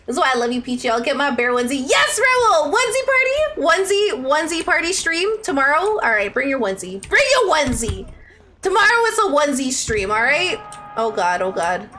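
A young woman chatting playfully on a game livestream, reading out viewer messages with dramatic, gushing affection. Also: high-pitched. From Ontario, Canada. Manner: animated and playful, with dramatic outbursts and gushing endearments. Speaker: live streamer. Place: indoor room at a streaming setup.

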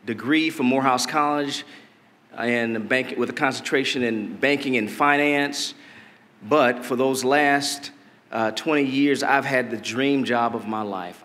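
Man giving a measured speech in a reverberant hall. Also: mid-range voice, fairly bright tone. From Detroit, Michigan. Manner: deliberate, measured delivery with emphatic rises. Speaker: political candidate. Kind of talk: campaign stump speech. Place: convention hall stage. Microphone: podium mic.

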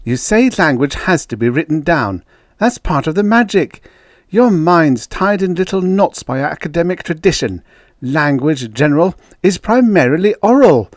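A man voicing a quick-tempered woman academic who argues and lectures with emphatic conviction. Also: on the high side, sing-song, a little mellow, dry recording, clean studio sound, pitched above the performer's own voice. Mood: angry.

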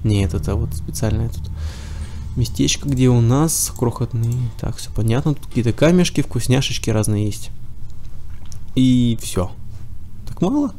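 A man with a cold talks his way through a game session, chatty and casual, mumbling to himself and jeering at the enemies he shoots. His voice is gravelly and dark, with a faint hum of room sound behind it. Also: medium pitch, measured pace. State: has a cold. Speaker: let's play gamer. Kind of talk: casual gameplay commentary.

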